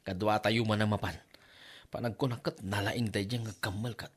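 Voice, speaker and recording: A man with an Ilocano accent acting an excitable, easily flustered village buddy in a comedy audio drama, hesitant and stammering. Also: on the low side, normal speed, breathy edge. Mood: casual teasing.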